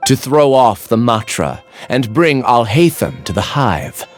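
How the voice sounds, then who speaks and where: An actor playing a quiet young male researcher who calmly and earnestly explains why he is joining a hive mind. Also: mid-range voice, measured pace. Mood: resigned. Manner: calm, earnest, explaining himself. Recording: faint game music behind.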